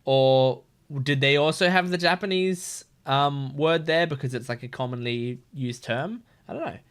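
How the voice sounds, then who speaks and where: A man's voice: medium pitch, normal speed, a bit bright, clean tone. A man hosting a reaction video with casual, playful, rambling commentary.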